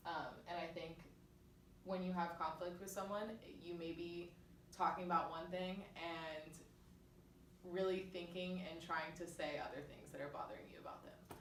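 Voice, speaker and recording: A woman's voice: lowish voice, fairly even intonation, normal speed. A young woman giving an informal class presentation as a college student in a casual, conversational way. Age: young adult. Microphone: distant microphone. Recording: distant, echoey room, faint noise.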